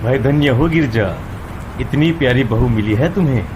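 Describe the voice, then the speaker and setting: A man playing a wise, benevolent older temple priest in an animated moral story, fatherly and moralising. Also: mid-range voice, moderate pace, natural voice. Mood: pleased. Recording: clear background noise, outdoor ambience.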